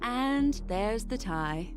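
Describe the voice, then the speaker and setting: A woman voicing a composed, enigmatic detective in a video game, with a measured and poised manner. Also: a little high, lively intonation. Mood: calm deduction. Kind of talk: video game character acting. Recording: music behind voice.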